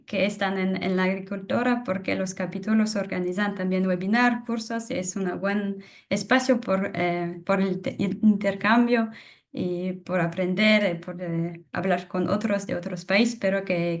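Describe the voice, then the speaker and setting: A young woman presenting a webinar in a language that is not her first, halting with many fillers and restarts. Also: medium pitch. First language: not Spanish. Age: young adult. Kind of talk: webinar talk on soil.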